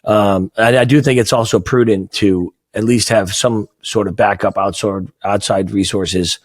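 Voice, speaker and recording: A man's voice: lowish voice, fairly even intonation, moderate pace. Brief: American man, New England accent, podcast host, friendly, self-mocking. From United States. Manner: friendly, joking, self-deprecating. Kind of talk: casual interview chat.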